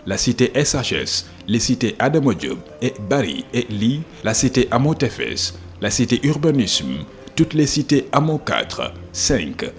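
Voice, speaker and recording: A man reading a scripted documentary narration. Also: mid-range voice.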